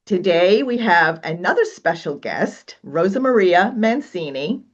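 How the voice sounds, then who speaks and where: A woman's voice: on the low side, expressive, measured pace. A woman podcast co-host from New York, who chats easily, laughs, and reads a guest's bio in a listing cadence. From New York, Long Island. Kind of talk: casual podcast chat and bio reading. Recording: slightly dull lo-fi sound.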